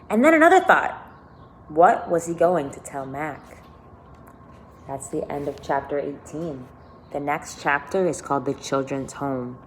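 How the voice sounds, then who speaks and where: A woman teacher reading a children's novel aloud expressively and pausing to think aloud for her listeners. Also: low-pitched, a little hiss or hum, poor audio. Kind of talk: read-aloud storytelling with commentary.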